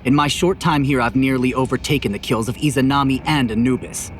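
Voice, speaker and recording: A man's voice: mid-range voice, fairly quick, slight background noise, close to an everyday voice. A man playing a blunt, defiant young apprentice reaper in an audio drama. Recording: music behind the voice.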